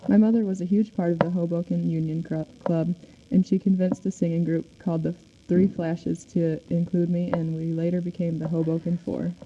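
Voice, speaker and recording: A woman takes the part of a 1940s film and music star answering questions on a radio show. The delivery is recited, in a slightly low and very soft-toned voice. The sound is dull and a little noisy. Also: flat delivery, moderate pace, close to an everyday voice. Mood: composed, recited. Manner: reading from a script, recited. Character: famous male 1940s singer and film star, interviewed. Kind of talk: amateur scripted radio show. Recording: dull recording with faint noise.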